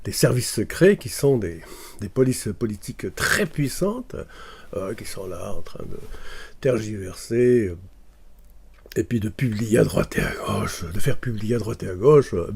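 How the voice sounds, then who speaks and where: A man's voice: mid-range voice, creaky, dark tone, breathy edge. A man from Morocco, talking casually to camera and hesitating often with fillers and restarts. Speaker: video commentator.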